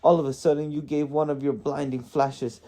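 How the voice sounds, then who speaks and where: A man's voice: on the high side, level tone, normal speed, warm tone, pitched above the performer's own voice, lightly acted. A man voicing an indignant, defensive dwarf who grumbles and complains in a storybook reading. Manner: blustering, complaining.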